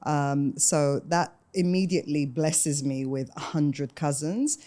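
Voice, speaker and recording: A woman's voice: sits low, takes it slowly, dark tone. A woman in her forties with a London accent, chatting casually and telling personal stories as a podcast guest. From London, UK, of Sudanese parents. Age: 40s.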